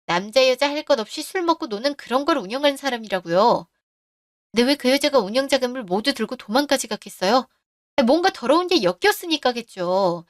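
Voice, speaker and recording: A woman narrating a scripted radio story, speaking calmly and firmly as the wronged wife. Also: high-pitched, dry recording, clean studio sound.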